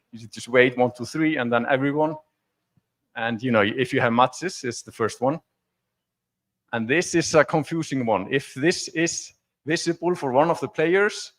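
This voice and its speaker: A man's voice: medium pitch, a bit bright. Man giving a tech talk. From Iceland. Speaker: conference speaker. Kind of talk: informal technical talk with live demo. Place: conference stage before an audience.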